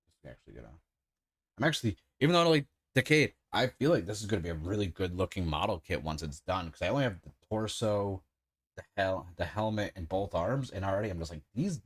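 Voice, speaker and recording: A man in his thirties chatting casually and rambling enthusiastically while he builds a model kit on a livestream, with many filler words. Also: low voice. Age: 30s.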